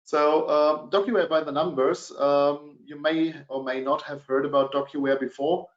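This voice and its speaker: A middle-aged man with a German accent, presenting a business webinar and pausing often on filler sounds. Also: fairly high pitch, steady tone. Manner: hesitant, many fillers. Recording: dull, roomy webinar audio.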